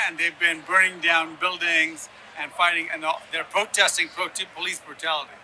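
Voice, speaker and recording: A man's voice: a little high, little pitch movement, moderate pace, pushed and bright, slight background noise, poor audio. A man from Ghana and East Africa giving opinionated political explanations in an interview, often checking in with "right?". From born in Ghana, grew up in Sudan, Yemen and Ethiopia. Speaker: interview guest, conservative activist.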